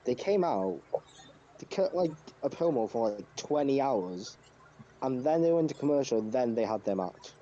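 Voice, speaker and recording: A man's voice: mid-range voice, sing-song, normal speed, warm tone. A man co-hosting a casual wrestling review podcast, talking emphatically and swearing now and then. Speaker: podcast co-host. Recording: dull lo-fi mic, clear background noise.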